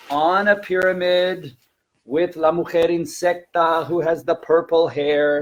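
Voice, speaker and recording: A man's voice: medium pitch, a little hiss or hum, low-quality recording. A man giving informal, emphatic instructional advice as a language teacher presenting a webinar.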